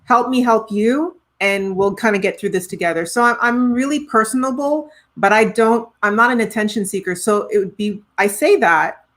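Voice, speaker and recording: A woman's voice: a little high, moderate pace, few pauses, a bit bright. A middle-aged woman from Trinidad and Tobago with a Trinidadian accent, speaking earnestly as a guest in an interview, often restarting her sentences. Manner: earnest, reflective, frequent restarts. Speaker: guest, professional singer. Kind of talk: conversational interview.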